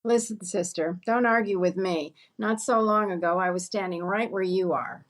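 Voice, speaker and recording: A woman playing a plain-spoken friend out shopping, quick to argue, in an old-time radio comedy. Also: medium pitch, close to an everyday voice. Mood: combative.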